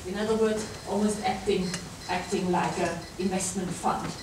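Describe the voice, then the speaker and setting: A woman's voice: mid-range voice, fairly even intonation, moderate pace. A woman with a German accent giving an academic talk to students, often hesitating and restarting her sentences. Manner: hesitant, many fillers and restarts. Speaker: guest lecturer. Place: large lecture room. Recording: echoey lecture hall from far off, background noise.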